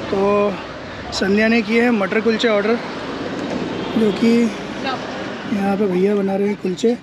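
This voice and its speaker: A man's voice: sits high, little pitch movement, measured pace, noisy background. Chatty teasing vlogger man. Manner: chatty, teasing, laughs often. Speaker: travel vlogger. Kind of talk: casual family travel vlog. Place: roadside stall, car and family home.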